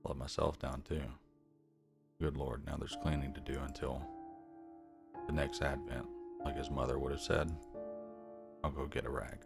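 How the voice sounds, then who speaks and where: A young man with a gravelly voice, a streamer reading visual novel narration aloud with casual asides. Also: a bass voice, level tone, moderate pace, pauses often. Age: young adult. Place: small room at home, indoors. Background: game music.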